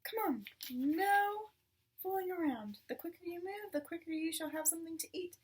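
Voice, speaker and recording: A woman voicing an excitable, boastful father fox from a storybook, affectionate but bossy, with theatrical exclamations. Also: very high voice, moderate pace, far from the mic, pitched above the performer's own voice. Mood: commanding. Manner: theatrical exclamations and firm orders.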